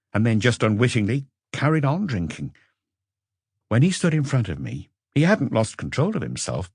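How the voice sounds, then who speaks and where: A man's voice: fairly low pitch, a little mellow, breathy edge, no room sound, studio quality. A man voicing a troubled man under questioning, earnest and hesitant, in an audiobook.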